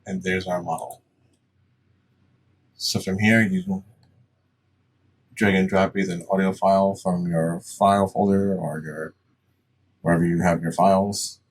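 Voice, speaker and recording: A man with a low voice walks through software steps like a casual tutorial presenter. He is plain-spoken and instructional. He sounds a little away from the mic, with faint noise behind him. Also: level tone, unhurried, pauses often, distant mic.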